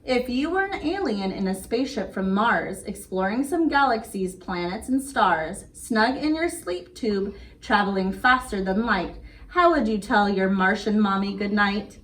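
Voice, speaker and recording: A woman's voice: medium pitch, animated, measured pace, hardly any pauses. A woman hosting story time and crafts for young children, talking in a simple, sing-song way. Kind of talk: picture-book read-aloud and craft demonstration for young children. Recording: noisy, distant microphone.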